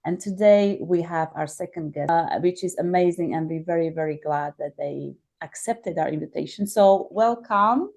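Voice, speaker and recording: A woman's voice: on the low side, normal speed, gravelly, few pauses, a little mellow. A woman with a Slovak accent hosting a casual interview podcast, keen and full of eager interjections. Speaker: podcast host.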